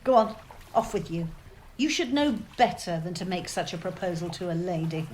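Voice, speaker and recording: A woman's voice: fairly low pitch, animated, moderate pace, darkish tone, a little hiss or hum, natural voice, low-quality recording. An amateur actress playing a prim, genteel lady who is shocked and disbelieving, read as a radio play. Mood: indignant.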